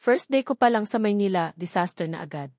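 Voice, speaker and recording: A woman's voice: medium pitch, expressive, brisk, a little mellow, no echo, studio quality, lightly acted. Woman narrator as a flustered, apologetic young woman. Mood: worried. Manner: polite, hesitant, self-talking. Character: flustered, apologetic young provincial woman, hurt but humble. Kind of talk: audio storybook character voice.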